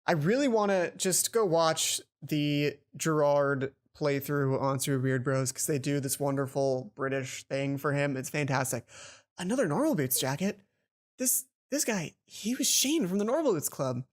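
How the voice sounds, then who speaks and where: Lower-pitched woman narrating a game playthrough. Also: normal speed. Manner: expressive reading with frequent ad-libbed asides. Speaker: let's player. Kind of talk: reading a visual novel aloud with casual commentary.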